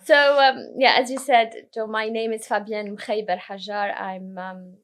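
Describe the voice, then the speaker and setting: A woman from Lebanon, a podcast guest telling her career story in a relaxed way, often restarting her sentences. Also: mid-range voice, measured pace. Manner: relaxed, self-correcting storyteller. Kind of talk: casual interview conversation.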